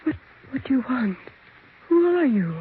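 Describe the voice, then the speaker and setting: A frightened heroine of a vintage radio ghost drama. Her voice is high and tremulous, her words slow and halting. The recording is dull, with faint hiss. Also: very animated. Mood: terrified, pleading. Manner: halting, gasping, tremulous exclamations. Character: frightened, easily overwhelmed woman facing a ghost. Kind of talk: 1940s radio melodrama acting.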